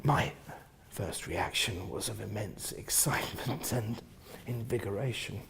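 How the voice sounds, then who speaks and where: A man with a husky voice reading first-person literary fiction in an expressive, theatrical manner, often hushing the ends of phrases. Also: fairly low pitch, a little slow. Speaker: reader of a novel.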